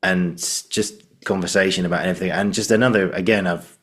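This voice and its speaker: A man's voice: low voice, fairly even intonation, fairly quick, fairly bright tone. A man hosting a conversational interview, effusive and given to repeating himself. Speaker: podcast host.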